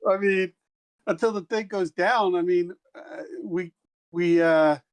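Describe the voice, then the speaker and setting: A man's voice: high-pitched, steady tone, slow pace, clear, resonant tone. A man playing in a tabletop game, chatting casually and thinking aloud about tactics. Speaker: player.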